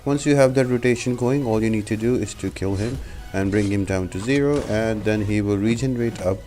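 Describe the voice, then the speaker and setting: A man's voice: lowish voice, a little fast, warm tone. A man giving a step-by-step gameplay tutorial as a voice-over, explaining things as a guide host. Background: background music.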